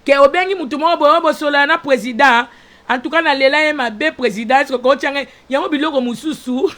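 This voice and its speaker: A woman's voice: sits high, a little fast, a bit bright. A woman with a Congolese accent giving an informal, opinionated talk as a show host, emphatic and repetitive with rhetorical questions.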